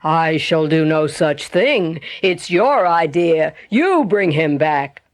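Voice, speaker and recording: A woman voicing a stubborn, indignant elephant in a children's story, with slow, weighty, emphatic speech. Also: low-pitched, expressive, dry recording. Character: stubborn indignant elephant, flatly refusing to be bossed. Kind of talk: storybook character voice.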